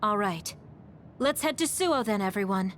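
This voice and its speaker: An actress playing a kind, caring squadmate in a video game, gentle and encouraging. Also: female voice, medium pitch, lively intonation, normal speed, slight background noise, close to an everyday voice. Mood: concerned. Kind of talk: video game cutscene voice acting.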